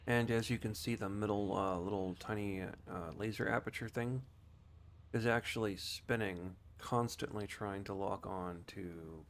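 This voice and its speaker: A low-pitched man commentates on his game in a casual, rambling style. He explains things without hurry, as a streamer would, with faint noise in the background. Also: fairly even intonation, a little slow.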